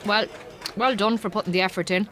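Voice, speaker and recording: An actress playing a chatty, kindly middle-aged Irish farmer's wife in a radio drama, with an Irish accent and a frank, colloquial manner. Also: female voice, mid-range voice, steady tone, fairly quick, background noise, close to an everyday voice. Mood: frank, explaining. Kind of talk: naturalistic radio drama acting.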